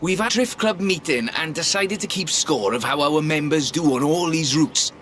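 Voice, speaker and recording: An actor playing a genteel, car-mad man who leads a drift club in a video game, speaking in a polite, chatty, understated and gently teasing way. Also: fairly high pitch, moderate pace, slight background noise, close to an everyday voice. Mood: enthusiastic, instructive. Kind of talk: scripted video game character dialogue. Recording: game audio under loud music. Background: music and car engines.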